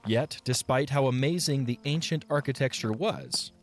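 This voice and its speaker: A man narrating an audio drama in a measured, expressive storybook style. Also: medium pitch.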